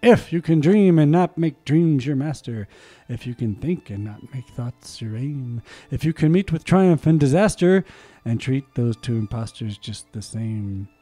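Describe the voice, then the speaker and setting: Mellow-voiced man reading poems theatrically. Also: medium pitch, lively intonation, measured pace. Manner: theatrical, swells loud on key lines, then drops to quiet murmured asides. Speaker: community radio host. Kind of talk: dramatic poetry reading with chatty asides. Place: small community radio studio. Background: soft music underneath.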